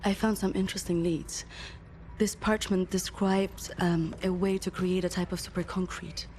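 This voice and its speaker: An actress playing a methodical woman researcher in a video game, measured with small hesitations. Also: lowish voice, level tone, a little mellow, a little hiss or hum, close to an everyday voice. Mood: calm. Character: studious woman, calm and methodical. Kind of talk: video game cutscene acting.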